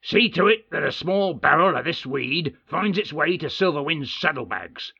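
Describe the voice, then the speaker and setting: A man voicing a gruff, hearty male dwarf king in an audiobook, speaking in a folksy dwarvish dialect, jovial and bossy. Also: a little high, lively intonation, normal speed, pushed and bright, no room sound, muffled recording, voice pushed up, full character voice. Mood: commanding. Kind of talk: audiobook character voice.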